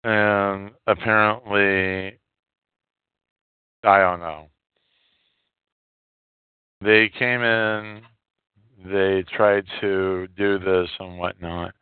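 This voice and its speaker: A man hosting a podcast, giving casual news commentary in a halting, hesitant way with pauses. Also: low voice, steady tone, clean tone, no echo. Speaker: podcast host. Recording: narrow phone-like audio, dry.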